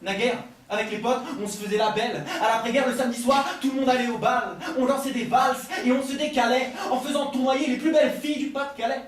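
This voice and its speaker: A man's voice: very high voice, steady tone, fast, hardly any pauses, far from the mic. A man from France performing slam poetry, declaiming rhymed first-person verse rhythmically at a live event. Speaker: slam poet at a poetry competition. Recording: roomy live event captured from afar, faint noise.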